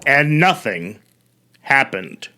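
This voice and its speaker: A man's voice: mid-range voice, big pitch swings, slow pace, pushed and bright, slight background noise. A man hosting a story show, telling tales with dramatic phrasing and casual, conversational asides. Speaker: host and storyteller.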